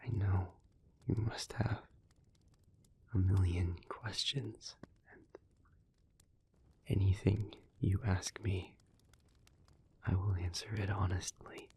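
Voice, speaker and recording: A man playing a brooding, devoted demon in an intimate audio roleplay, speaking in solemn, halting, measured phrases. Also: deep voice, steady tone, a little mellow, a little hiss or hum. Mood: somber, earnest.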